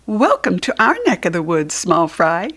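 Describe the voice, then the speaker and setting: A woman's voice: lowish voice, very animated, normal speed, a bit bright, breathy edge, full character voice. An American woman voicing friendly big clouds who greet a small newcomer in a children's storybook. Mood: friendly, welcoming.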